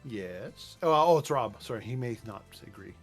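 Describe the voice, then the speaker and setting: A man's voice: mid-range voice, expressive, moderate pace. A man co-hosting a livestream, chatting casually. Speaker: co-streamer voicing game characters. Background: music.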